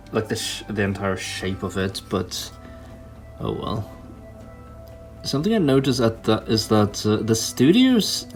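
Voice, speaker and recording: A man giving casual hands-on hobby commentary, thinking aloud in a hesitant way as he works. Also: lowish voice. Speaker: toy customizer hosting a hobby video. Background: background music.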